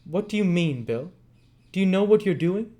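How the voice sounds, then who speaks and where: A desperate young woman pleading in a high, strained voice, as a man reads her lines. Her pitch leaps about wildly while she begs to be let go, in a dramatic storybook reading. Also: expressive, moderate pace, warm tone. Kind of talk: dramatic read-aloud.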